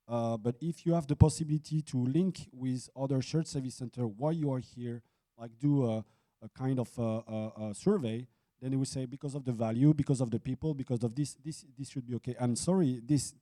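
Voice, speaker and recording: A man speaking on a business conference panel as a site leader, halting, with many restarts and fillers. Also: mid-range voice, mellow. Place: conference hall.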